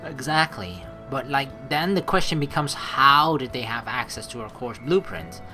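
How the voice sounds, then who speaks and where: A man's voice: mid-range voice, expressive, moderate pace, sharp tone. A man reading a game's story aloud and commenting on it in a casual, slangy way, with game music behind him. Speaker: let's play host.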